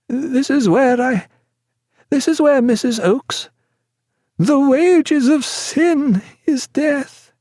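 A man voicing a terrified, feverish sick gentleman who pleads in distress. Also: extremely high pitch, dry recording, studio quality, pitched above the performer's own voice, heavily acted.

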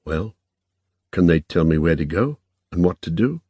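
A stoic young man from a story, read by a male narrator. He talks low and plainly, quiet and unassuming, in a dry recording. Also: animated, normal speed, husky, no room sound. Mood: resolute.

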